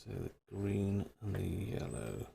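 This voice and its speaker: Gravelly low-voiced man tinkering. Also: animated, takes it slowly, mellow. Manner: muttering to himself while tinkering. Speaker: radio hobbyist host. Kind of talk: live stream repair commentary.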